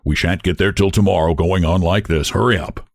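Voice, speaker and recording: A man's voice: low voice, brisk, vocal fry, no echo, clean studio sound. A man voicing a brusque military officer giving curt orders. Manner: curt, commanding.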